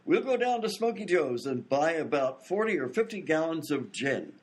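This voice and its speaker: Guy doing a flustered theater boss in old radio comedy, husky higher voice, wry and swoopy. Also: sing-song. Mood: flustered, scheming. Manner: wry, fast-talking banter. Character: flustered, wisecracking vaudeville theater manager man.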